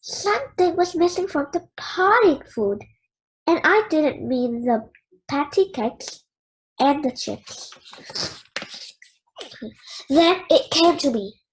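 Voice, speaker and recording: Child storyteller, very high voice, lively swooping intonation, slow measured read-aloud pace. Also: poor audio. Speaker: children's book reader.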